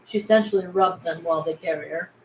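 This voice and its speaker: A woman's voice: on the low side, fast talker. A woman reading stage directions aloud as scripted narration at a table read. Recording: echoey room, faint background noise.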